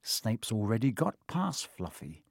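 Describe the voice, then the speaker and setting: Man playing a quiet, resolute schoolboy hero. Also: mid-range voice, moderate pace, vocal fry, breathy edge, no echo, clean studio sound, exaggerated voice. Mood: quiet resolve. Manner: quiet, steady, serious. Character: brave, earnest, resolute young schoolboy hero.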